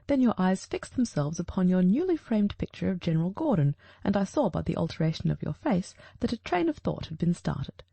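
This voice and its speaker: A woman's voice: fairly low pitch, sing-song, a little fast, creaky, few pauses, warm tone, slightly rough, no echo, muffled recording, full character voice. A woman reading the part of a shrewd, self-assured male detective in an audiobook, precise and formal as if teaching. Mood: explaining calmly.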